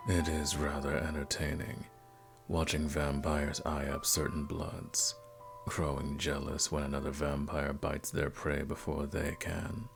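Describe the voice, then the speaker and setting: A man playing a suave, courteous vampire nobleman in a scripted ASMR roleplay, speaking formally and softly in a gravelly voice. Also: exaggerated voice. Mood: courteous, charming. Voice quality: very deep, gravelly. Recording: music playing behind the voice.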